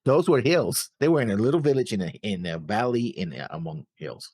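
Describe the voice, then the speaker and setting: A man with a fairly low voice that swings widely in pitch. He co-hosts in casual banter, rambling through halting explanations, recorded close and dry. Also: expressive, a little mellow, no echo. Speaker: reaction show co-host.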